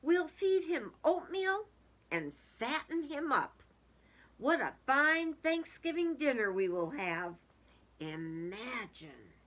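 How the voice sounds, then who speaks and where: A woman reader acts out an eager, gleeful storybook woman in a high, bright voice. She savors each phrase slowly, with swooping, exclamatory emphasis. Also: sing-song, heavily acted. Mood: delighted. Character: eager, gleeful woman fattening a turkey for dinner.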